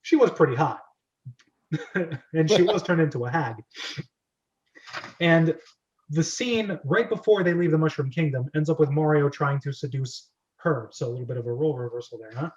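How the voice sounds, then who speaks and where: Animated, higher-voiced man hosting a script reading. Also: normal speed, pauses often, highs cut off. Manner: playful, self-interrupting, chatty. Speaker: host and narrator of script reading club. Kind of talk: casual amateur script reading with commentary.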